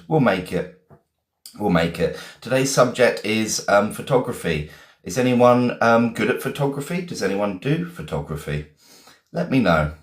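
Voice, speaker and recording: An English man, a teacher from Essex, hosts a live lesson and chats with his viewers, greeting them one by one with plenty of "um"s. His voice sits low. The room has a noticeable echo. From England, Essex. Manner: chatty, greets viewers one by one, many "um" fillers. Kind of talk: casual live-stream lesson.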